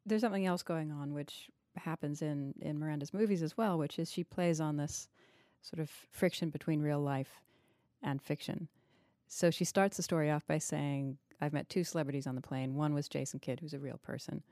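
Low, mellow-voiced woman, analytical interviewer. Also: steady tone, moderate pace, no echo, clean studio sound. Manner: analytical, probing with questions. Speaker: podcast host interviewing an author. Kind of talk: thoughtful literary discussion.

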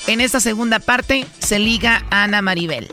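A man hosting a radio show, briskly questioning a guest and setting up a prank call. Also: high voice, bright, forceful tone, faint music behind. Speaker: radio host.

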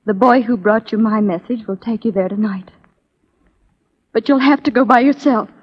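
A woman's voice: on the high side, normal speed, close to an everyday voice. A woman playing an earnest, torn young spy in a 1940s radio drama, in an intense, melodramatic manner. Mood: guarded, earnest. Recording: muffled 1940s radio recording.

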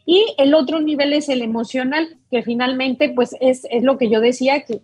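A woman's voice: high-pitched, steady tone, normal speed, darkish tone, breathy edge, no room sound. A woman doctor, explaining a topic patiently as a guest on a talk show. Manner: explains patiently, with many fillers and restarts.

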